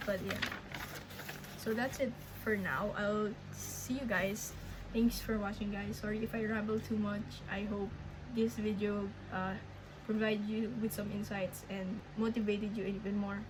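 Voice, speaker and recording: A young woman with a Filipino accent speaks at a middling pitch, her first language being Filipino. She talks slowly and rambles, stopping and restarting often. A steady noise runs clearly behind her voice. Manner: slow, rambling, hesitant with many restarts. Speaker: language learning vlogger. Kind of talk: casual update vlog.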